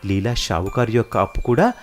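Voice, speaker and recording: An animated storyteller narrates moral tales for children in a scripted storybook style. The voice moves up and down in pitch, with faint music underneath. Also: brisk.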